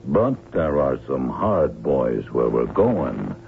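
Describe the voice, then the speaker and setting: A man's voice: rumbling low, sing-song, takes it slowly, gravelly, exaggerated voice. An actor playing a tough, cynical hired gunman in an old radio drama, talking in blunt, folksy slang. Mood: coolly cynical. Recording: tinny band-limited old radio recording, faint noise.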